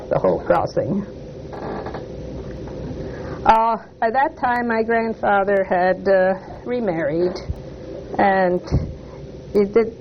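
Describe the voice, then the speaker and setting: An older speaker from Detroit, whose was Polish, tells a family story slowly and hesitantly. The voice is gravelly and sits at a middling pitch. It comes through a muffled, lo-fi recording with steady audible hiss. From Detroit, United States. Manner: hesitant, with frequent "uh" pauses and self-corrections. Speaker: oral history interviewee. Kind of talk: slow, reflective life-story telling. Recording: muffled lo-fi tape with clear hiss.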